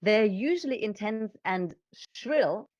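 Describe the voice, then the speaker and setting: A woman explaining things conversationally as a voice coach, with an expressive, emphatic way of talking. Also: mid-range voice, slow pace, no echo, dull audio.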